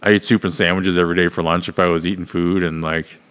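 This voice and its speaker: A man co-hosting a podcast in casual chat, easygoing and quick to agree. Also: low-pitched, flat delivery, fairly bright tone, clean tone, long reverb tail. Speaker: podcast co-host.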